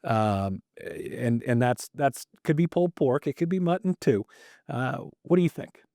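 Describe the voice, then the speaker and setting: A man's voice: medium pitch, lively intonation, a little slow, vocal fry, warm tone, dry recording. Earnest middle-aged man, dry close mic. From Kentucky. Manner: earnest, repeats words when starting thoughts. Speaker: podcast host and state governor. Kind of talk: casual conversational interview.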